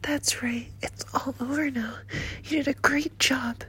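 A woman voicing a doting, flirtatious nurse in a whispered roleplay, with a soft, breathy, slow and coaxing delivery. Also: sits high, fairly even intonation, exaggerated voice. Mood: whispery, doting. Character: doting, flirtatious female nurse, motherly and teasing.

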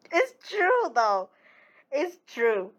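A young woman, whose first language is not English, chatting casually and reading viewer comments with frequent giggles and rambling restarts. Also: high voice. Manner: giggly, rambling, self-correcting. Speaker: streamer.

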